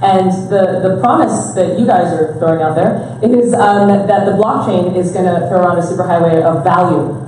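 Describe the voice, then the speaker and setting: A woman's voice: on the low side, moderate pace, few pauses, mellow, a little hiss or hum. A woman speaking on a panel, thoughtful and hesitant, with many fillers. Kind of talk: panel discussion. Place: event venue. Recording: echoey hall, distant mic.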